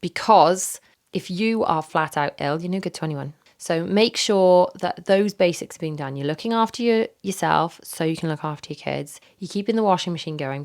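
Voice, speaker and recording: A woman in her thirties hosting her own podcast from a home office, hoarse from a recent illness, chatting candidly with lots of fillers into a microphone. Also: sits low. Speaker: podcast host. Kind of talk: casual solo podcast chat. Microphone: studio microphone.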